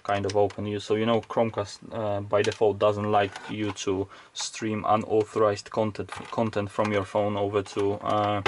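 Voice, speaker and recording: A man's voice: lowish voice, completely flat delivery, moderate pace, hardly any pauses. A man, probably middle-aged, talking casually and hesitantly, rambling as he thinks aloud through an unscripted hands-on demonstration. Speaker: video creator, electronics hobbyist. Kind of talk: casual unscripted teardown narration. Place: indoors at a home workbench. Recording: faint background noise with clicks.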